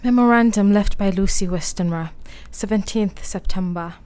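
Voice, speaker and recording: A woman playing a frail, frightened young gentlewoman in a radio play, gentle and brave. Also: mid-range voice, normal speed, clear, resonant tone, close to an everyday voice. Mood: weary, fearful. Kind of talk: radio play acting, partly diary narration.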